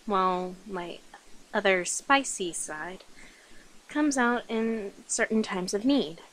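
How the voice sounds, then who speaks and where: A woman voicing a sweet, caring vampire in a soft, hesitant ASMR roleplay. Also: mid-range voice, animated, slow pace. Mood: soft, confessing.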